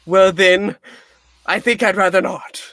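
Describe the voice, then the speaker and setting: A man's voice: high-pitched, little pitch movement, a little slow, pushed and bright, no echo, exaggerated voice. A man playing a frightened, pleading old miser in a comic radio play reading, with a flustered, theatrical manner. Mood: afraid, wheedling.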